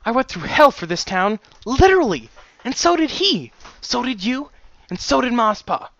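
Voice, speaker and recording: A man's voice: on the high side, normal speed, bright, forceful tone, dry recording, dull audio, voice pushed up. A man voicing a fiery, defiant young mare, a grown daughter who speaks with biting indignation in an audiobook-style story. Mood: furious.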